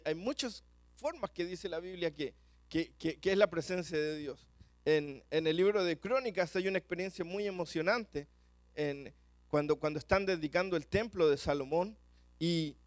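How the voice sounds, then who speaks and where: A man with a Chilean accent preaching a sermon to a church congregation, talking quickly and often repeating words as he goes. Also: sits high, no room sound. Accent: Chilean Spanish. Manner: fast-talking, repeats words when rushing.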